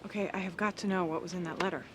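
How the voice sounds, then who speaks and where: An actress with an American accent playing a quick-witted, protective middle-aged mother in a television drama, wry and pointed. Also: on the low side, steady tone, slight background noise, natural voice. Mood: subdued, wry.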